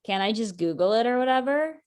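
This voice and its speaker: A woman's voice: on the high side, expressive, quick pace, darkish tone, smooth, natural voice. A woman acting a blunt, sarcastic ex-girlfriend in her thirties in a conversational comedy script reading. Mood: sarcastic, testing.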